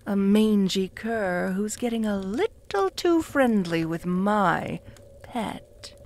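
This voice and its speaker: Possessive vampire mistress, voiced by a woman, mocking and cruel, unhurried delivery, mid-pitched, breathy whispers. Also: animated. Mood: jealous, possessive. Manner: slow, purring, often dropping to a whisper; taunting, with soft mocking laughs. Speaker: voice actor in audio roleplay. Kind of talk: audio roleplay addressed to the listener.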